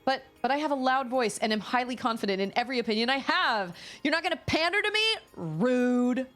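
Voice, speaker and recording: A woman's voice: high voice, animated, brisk, fairly bright tone, soft background music. A woman streamer chatting casually at home while playing a game live, thinking aloud and restarting her sentences often. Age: adult parent.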